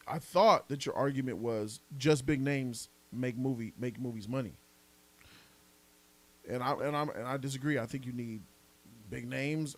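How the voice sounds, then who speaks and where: A man hosting a casual live podcast, debating movies in an emphatic, argumentative, slangy way with some swearing. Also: mid-range voice. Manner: emphatic, argumentative, repeats points, slangy and profane. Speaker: podcast host reading donor messages.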